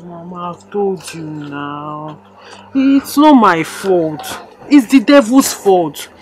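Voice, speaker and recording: A woman's voice: fairly low pitch, expressive, slow, darkish tone. A woman playing a cheeky young hustler who coaxes her mother and mixes in Pidgin, with a Nigerian accent. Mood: coaxing. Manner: defensive, coaxing, mixes in Pidgin.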